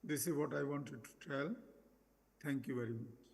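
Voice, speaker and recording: A man finishing an academic talk at a conference, halting and repeating his phrases. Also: mid-range voice, creaky, darkish tone, slight background noise. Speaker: conference speaker. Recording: long hall reverb.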